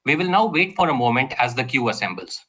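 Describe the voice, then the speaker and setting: A man's voice: medium pitch, sing-song, fast, fairly bright tone. A man moderating a conference call with formal, polite scripted announcements.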